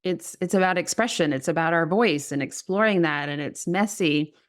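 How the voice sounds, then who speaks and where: A woman with a low voice talks as a guest on an interview podcast. She speaks reflectively about her work as a writing coach and laughs easily. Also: moderate pace. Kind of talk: casual interview talk.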